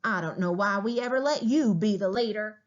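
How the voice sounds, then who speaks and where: An older pioneer wife, scolding and full of grievance, played by a woman reading a storybook. Her voice climbs high with indignation. The sound is slightly muffled. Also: fairly quick, close to an everyday voice. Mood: indignant, scolding. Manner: scolding, aggrieved. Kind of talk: children's historical novel read aloud.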